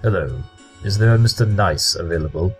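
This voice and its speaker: Man playing a deep-voiced, blunt, precise consulting detective. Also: lively intonation, fairly quick, over music. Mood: formal, confronting. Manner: precise, formal, deductive, matter-of-fact. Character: brilliant, blunt, formal pony consulting detective. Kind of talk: audio drama acting.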